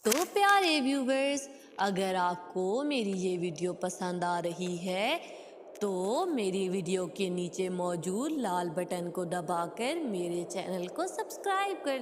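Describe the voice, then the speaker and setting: A woman narrating a scripted children's cartoon story as the storyteller. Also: medium pitch. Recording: noisy, long hall-like reverb.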